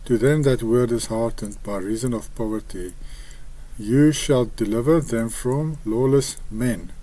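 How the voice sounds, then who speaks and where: A man with an Afrikaans accent, a native Afrikaans speaker, reading scripture aloud and explaining it in a hesitant, halting way with frequent pauses. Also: mid-range voice, mellow, rough recording. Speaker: online Bible commentator.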